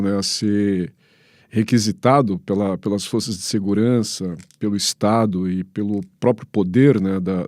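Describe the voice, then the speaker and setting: A Brazilian man answering as an expert guest in a halting, didactic way, pausing mid-phrase to search for words. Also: on the low side, warm tone, no room sound, studio quality. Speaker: history professor, guest commentator.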